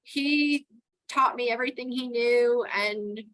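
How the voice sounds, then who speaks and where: A woman's voice: on the high side, slow, a bit bright, poor audio. A middle-aged woman from New York with a Texan accent, chatting casually as an interview guest and laughing often. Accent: Texan with some New York. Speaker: guest, towboat port captain.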